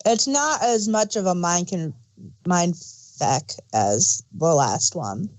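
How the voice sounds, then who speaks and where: Lively-pitched woman in casual chat. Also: takes it slowly, dry recording. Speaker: podcast co-host reviewing audio dramas.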